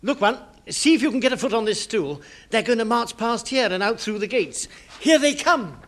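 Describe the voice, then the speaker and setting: An actor playing an anxious, fussy British civil service deputy who talks fretfully and hesitantly in a radio comedy. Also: male voice, extremely high pitch, animated, moderate pace, a bit bright. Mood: narrating anxiously.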